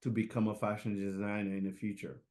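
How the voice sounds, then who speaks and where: A middle-aged man from Haiti answering interview questions, halting with many fillers and restarts. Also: fairly low pitch, level tone, a little mellow. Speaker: guest, fashion designer.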